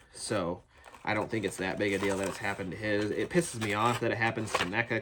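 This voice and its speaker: A man's voice: lowish voice, very noisy. A man with a cold, sounding nasal and a bit phlegmy, giving a rambling, eager unboxing review of action figures from his toy building. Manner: rambling and chatty, many restarts, eager.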